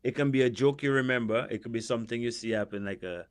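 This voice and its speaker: A man hosting a podcast interview who laughs often and talks in an eager, expressive way. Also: medium pitch, quick pace, no room sound. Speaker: podcast host. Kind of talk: casual interview.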